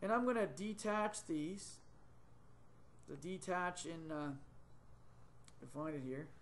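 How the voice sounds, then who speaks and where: Higher-voiced man explaining software steps. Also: takes it slowly, a little hiss or hum. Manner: thinking aloud, many ums and self-corrections. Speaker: software tutor. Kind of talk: screen-recorded software tutorial. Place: small indoor room, webcam in corner of screen.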